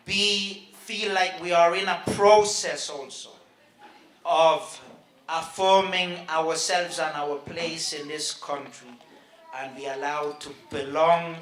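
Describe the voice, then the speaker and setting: Bright-voiced man speaking on a panel. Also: a little high, echoey room, slight background noise. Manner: measured, hedging with "so to speak" and "quote unquote". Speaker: panelist, researcher and activist. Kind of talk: panel discussion. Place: small event stage with a live audience. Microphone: handheld microphone.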